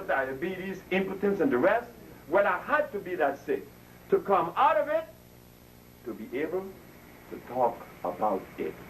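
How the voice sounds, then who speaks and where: A seventy-year-old man lectures an audience in a preacher's cadence, pulling responses from the room. His pitch leaps up and drops down with lively swings. The mic sits well back from him, with faint background noise. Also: recorded from a distance, low-quality recording. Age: 70s. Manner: preacher-like, call and response with listeners. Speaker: speaker addressing an audience. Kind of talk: impassioned lecture.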